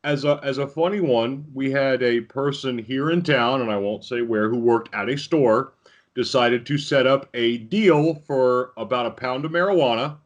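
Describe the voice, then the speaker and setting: A man's voice: mid-range voice, normal speed. An American man hosting a podcast interview, telling stories in a rambling, informal, amused way. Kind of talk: casual chat, storytelling.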